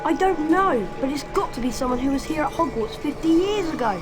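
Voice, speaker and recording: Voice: high voice, lively intonation, brisk, music in the background. An actor voicing an earnest English boy wizard of about twelve in a video game cutscene. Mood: urgent.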